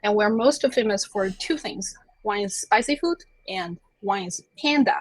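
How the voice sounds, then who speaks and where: Woman, 30s, from China, native Chinese speaker, husky voice, dry close sound, chatty and self-mocking. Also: medium pitch, animated, measured pace, dry recording, poor audio. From China (Chengdu). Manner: chatty, self-deprecating, laughs often. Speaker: interview guest, plant scientist. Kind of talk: casual storytelling interview.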